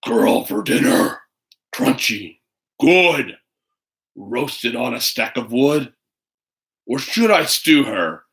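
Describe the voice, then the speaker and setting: A man voicing a fierce, hungry, boastful bear in a storybook, speaking slowly and menacingly. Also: mid-range voice, big pitch swings, a bit bright, exaggerated voice. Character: fierce hungry bear, boastful, drooling over a little girl.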